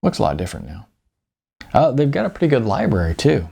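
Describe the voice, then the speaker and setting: A man voicing a likable retired salesman and father in an audiobook, with a folksy, halting, conversational manner. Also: medium pitch, huge pitch swings, creaky, dark tone, slightly rough, exaggerated voice. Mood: folksy, easygoing.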